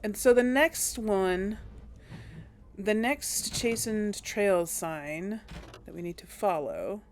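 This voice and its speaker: A woman's voice: medium pitch, expressive, takes it slowly, slight background noise. A young woman chatting casually through a video game, thinking aloud and correcting herself as she goes. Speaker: let's play host. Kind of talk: casual gameplay commentary.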